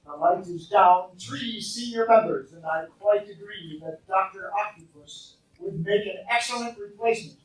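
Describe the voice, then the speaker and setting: A man's voice: sits high, moderate pace, hardly any pauses, close to an everyday voice. A man playing a scheming mad-scientist villain, pompous and courtly, in a live radio play. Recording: cavernous live recording, distant mic.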